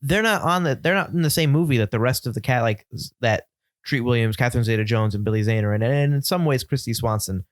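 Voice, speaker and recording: A man co-hosting a film podcast in casual chat, relaxed and opinionated with quick asides. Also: medium pitch, no room sound, clean studio sound. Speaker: podcast co-host.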